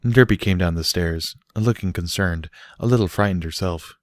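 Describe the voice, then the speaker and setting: A man narrates a story in a low voice, recorded dry and close. He reads scripted prose as an audiobook reader. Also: normal speed, dry recording.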